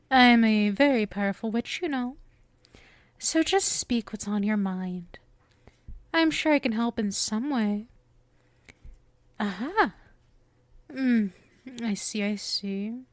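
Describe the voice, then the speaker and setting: A woman's voice: a little high, lively intonation, slow pace, pauses often, dry recording. A woman playing a sweet, possessive witch shopkeeper in an ASMR roleplay, coy, teasing and theatrical in her affection. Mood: pleading, eager, then soothing, tender. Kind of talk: ASMR roleplay, intimate scripted acting.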